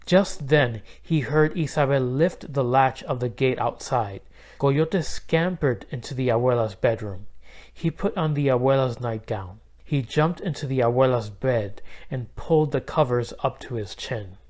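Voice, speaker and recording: A man reading a children's storybook aloud as an expressive narrator. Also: medium pitch, unhurried. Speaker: storybook reader.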